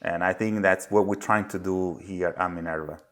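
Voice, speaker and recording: Low-voiced Italian man with many fillers. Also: fairly even intonation, normal speed, fairly bright tone. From Italy. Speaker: guest, company founder. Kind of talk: podcast interview.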